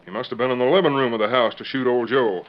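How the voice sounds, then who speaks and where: A man's voice: mid-range voice, fast talker, natural voice. A stern, plainspoken western lawman, acted by a man in a radio western, talking in folksy, matter-of-fact cowboy speech. The old recording sounds dull and muffled. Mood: skeptical. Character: plainspoken, stern but regretful western jail guard. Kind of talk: 1940s radio western acting.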